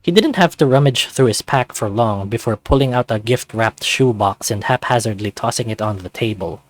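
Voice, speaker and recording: A man's voice: medium pitch, normal speed. A man reading scripted first-person narration from a visual novel.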